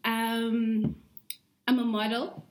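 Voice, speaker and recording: A woman's voice: a little high, flat delivery, drawn-out words, a little hiss or hum, low-quality recording. A young woman from Congo, a podcast guest, chatting casually and laughing often. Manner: giggly, easygoing, laughs often. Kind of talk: casual chat.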